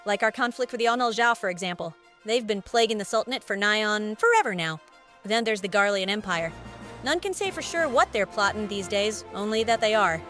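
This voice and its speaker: An actress playing a brisk, plain-spoken innkeeper with a British accent and a frank, chatty manner in a video game. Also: female voice, mid-range voice, fairly bright tone, soft background music, lightly acted. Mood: wry, knowing. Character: brisk, plain-spoken woman innkeeper running an adventurers' guild. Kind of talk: scripted video game cutscene acting.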